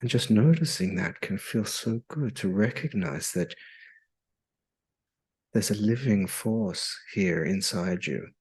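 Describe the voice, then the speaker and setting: Slow, husky man guiding meditation. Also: lowish voice, lively intonation, darkish tone. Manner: soothing, hypnotic, measured with long pauses. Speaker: meditation guide. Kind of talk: guided meditation.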